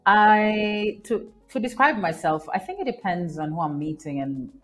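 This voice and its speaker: A woman from Kenya, a scientist guest on an interview podcast, answering in a warm, rambling way with fillers and soft laughs. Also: mid-range voice, lively intonation, moderate pace, faint music behind.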